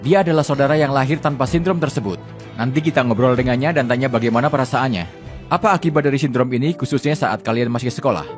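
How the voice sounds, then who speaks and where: Man, documentary voice-over, quick steady delivery, faint music under it. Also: mid-range voice. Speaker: travel vlogger narrating. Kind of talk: scripted voice-over narration.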